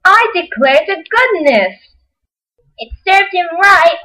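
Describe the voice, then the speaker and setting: A performer playing an excitable, fussing character in an amateur radio play. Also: very high voice, slow, heavily acted, low-quality recording. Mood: flustered, excited.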